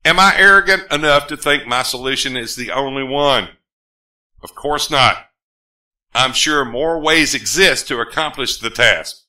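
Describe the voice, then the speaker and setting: A man's voice: medium pitch, lively intonation, unhurried, pushed and bright. A man from the United States reading his own scripted political appeal in an earnest, emphatic, rhetorical manner. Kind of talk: scripted political speech, read aloud.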